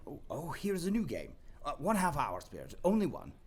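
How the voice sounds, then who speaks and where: A man playing a softened old miser in an audio play, anxious, hesitant and pleading. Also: a little high, wildly swooping pitch, moderate pace, breathy edge, pitched above the performer's own voice, full character voice. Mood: eager pleading.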